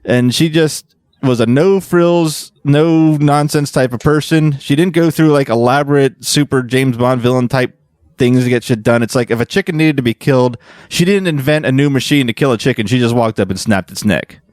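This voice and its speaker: A man's voice: mid-range voice, moderate pace, darkish tone, no echo. A man hosting a podcast, explaining his research in casual, informal chat with a bit of swearing. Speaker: podcast host presenting research.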